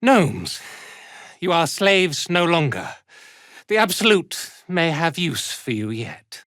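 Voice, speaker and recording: A man's voice: fairly high pitch, very animated, slow pace, a bit bright, dry recording, studio quality, exaggerated voice. A man playing a haughty, cruel drow cult commander in a fantasy video game, speaking in an imperious, menacing, theatrical way. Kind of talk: dramatic fantasy game voice acting.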